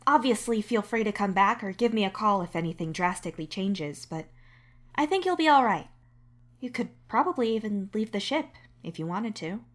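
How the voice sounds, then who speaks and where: A woman voicing a friendly alien doctor in a podfic, measured, professional and reassuring. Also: medium pitch, expressive, pitched above the performer's own voice, lightly acted. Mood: warm, reassuring.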